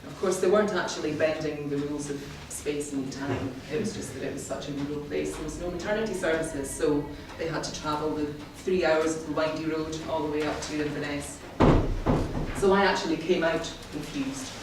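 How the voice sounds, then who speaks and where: A middle-aged woman, giving a prepared speech to a club in a measured, wry, self-deprecating way. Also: deep voice, fairly even intonation. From Scotland, born in the Highlands. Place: indoor meeting room, in front of an audience. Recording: very echoey room, distant mic, clearly noisy.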